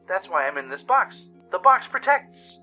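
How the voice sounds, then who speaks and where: A man's voice: sits high, sing-song, unhurried, bright, forceful tone, dull audio, soft background music, pitched above the performer's own voice, exaggerated voice. A man voicing a cheery but nervous pony who hides in a cardboard box, in an audio drama. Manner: cheery, chirpy. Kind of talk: audio drama character acting.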